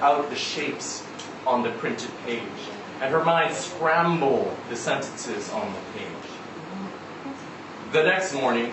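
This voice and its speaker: Male story reader for live fiction: unhurried, expressive, recorded at a distance with clear noise. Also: mid-range voice. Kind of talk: live literary short story reading. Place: live reading event in a venue. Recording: roomy, distant, noisy live event recording.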